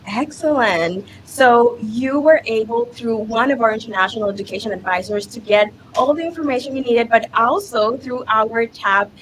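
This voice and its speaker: A woman's voice: fairly high pitch, normal speed, few pauses, a little hiss or hum, poor audio. A woman hosting a live student panel, upbeat and encouraging, sometimes restarting her sentences. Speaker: livestream host.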